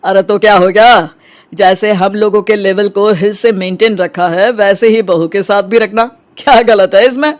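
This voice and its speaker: A woman's voice: mid-range voice, fairly even intonation, normal speed, lightly acted. A woman voicing an earnest, soothing middle-aged husband in a cartoon story. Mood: reassuring. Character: calm, reassuring middle-aged husband and father.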